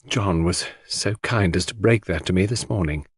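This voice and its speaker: A man's voice: sits low, normal speed, slightly rough, no echo, studio quality. A man voicing a proud, cold and bitter Englishwoman with a cool, mocking, clipped manner in an audiobook. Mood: icy, dismissive.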